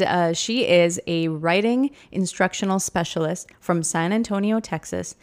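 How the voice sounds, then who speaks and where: A woman's voice: lowish voice, darkish tone. A woman co-hosting a casual podcast chat, affirming and easygoing. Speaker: podcast co-host.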